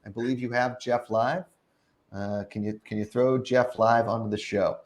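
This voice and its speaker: A man's voice: medium pitch, fairly even intonation, moderate pace. A man hosting a live talk show in an informal, joking way. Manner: jokey, informal. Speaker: show host.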